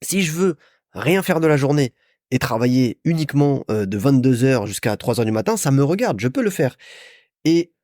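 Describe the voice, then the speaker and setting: Casting: young male podcast host from France, chatty and informal, animated intonation, dry studio-like sound. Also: mid-range voice, moderate pace, no echo. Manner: chatty, informal, addresses listener as a friend. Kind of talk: casual solo podcast monologue, storytelling.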